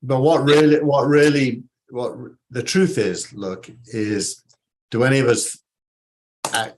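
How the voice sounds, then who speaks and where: A man's voice: mid-range voice, steady tone, a little slow. A man with a Yorkshire accent talking reflectively on a panel, often repeating how he starts a sentence. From Yorkshire, England. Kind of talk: casual panel discussion.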